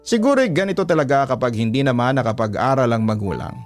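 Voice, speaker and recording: A man with a medium-pitched voice narrates a scripted personal story for a radio drama. Soft guitar music plays behind his reading. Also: animated, fast.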